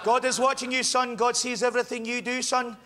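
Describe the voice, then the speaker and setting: A man's voice: extremely high pitch, level tone, brisk, pushed and bright. A man voicing a stern, devout older Scottish father who scolds his son in a preachy, repetitive way with a Scottish accent. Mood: stern, disapproving.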